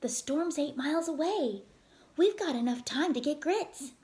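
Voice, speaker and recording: A woman's voice: high-pitched, expressive, unhurried, darkish tone, pitched above the performer's own voice. A woman voicing a cautious, firm father who leads a climbing school, instructing in a children's storybook. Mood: measured. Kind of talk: children's storybook read-aloud.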